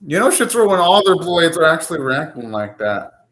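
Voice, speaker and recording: Animated young man ranting in reaction. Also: fairly high pitch, normal speed. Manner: animated, ranting, swears casually. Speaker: anime reaction host. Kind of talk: live reaction commentary.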